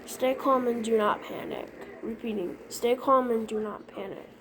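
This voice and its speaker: A woman with a fairly high voice reads a scripted emergency announcement. Her delivery is halting, with words repeated here and there. Clear background noise runs through the recording. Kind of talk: scripted mock emergency alert narration.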